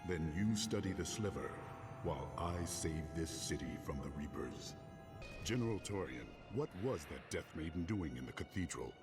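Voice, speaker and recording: An actor playing a resolute, grim warrior hero in a video game, speaking in stern declarations. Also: male voice, extremely low pitch, expressive, moderate pace, music in the background. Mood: determined. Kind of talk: video game dramatic acting.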